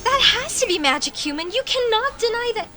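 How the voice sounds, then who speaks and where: High, bright young elf girl played by a woman. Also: big pitch swings, a little fast, a little hiss or hum, full character voice. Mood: flustered, indignant. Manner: formal stilted phrasing, questioning, indignant. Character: young elf mage girl, formal, curious, outspoken, easily flustered. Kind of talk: comedic audio roleplay addressed to the listener.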